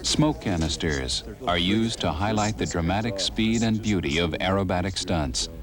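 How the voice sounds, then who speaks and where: A man's voice: low-pitched, normal speed, crowd noise. A man reading scripted documentary narration. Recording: music under the voice. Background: background music.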